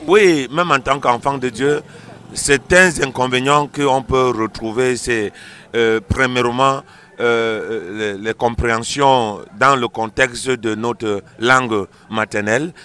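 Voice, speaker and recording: Male pastor as interview guest: bright, tense edge, mid pitch, emphatic and didactic delivery. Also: steady tone, slow, clear, resonant tone. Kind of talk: explanatory interview answers on a religious show.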